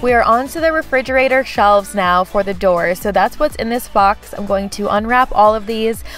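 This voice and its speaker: A woman's voice: mid-range voice, normal speed, a bit bright, soft background music. Chatty woman narrating a home vlog. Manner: chatty, friendly, frequent "like" fillers. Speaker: home vlogger. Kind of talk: casual voice-over narration.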